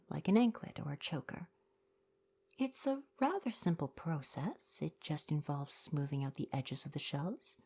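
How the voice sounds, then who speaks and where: A low, creaky, soft-spoken young merfolk shopkeeper, acted by a woman in an ASMR roleplay. The manner is kind and courteous, chatty with customers, with a gently sardonic streak. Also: heavily acted. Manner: polite, chatty, helpful customer-service phrasing.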